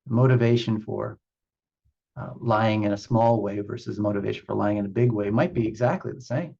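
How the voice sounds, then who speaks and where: A low-voiced man speaks as a spiritual teacher in a reflective meditation session. His voice is mellow and a little husky, and he moves through ideas in deliberate, halting phrases, pausing for emphasis. The recording is somewhat dull.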